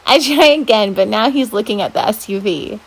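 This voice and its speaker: A woman reading aloud as a volunteer storyteller, with an expressive, dramatic delivery. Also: medium pitch, brisk, husky, low-quality recording. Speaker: volunteer children's book reader.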